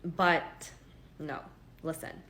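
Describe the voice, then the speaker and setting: Woman, twenties, from Hong Kong, low voice, chatty vlog storytelling, faint background ambience. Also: steady tone, drawn-out words, sharp tone, a little hiss or hum. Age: 20s. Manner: chatty, earnest, many "like" fillers. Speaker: vlogger. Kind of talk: casual talking-to-camera story. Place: home.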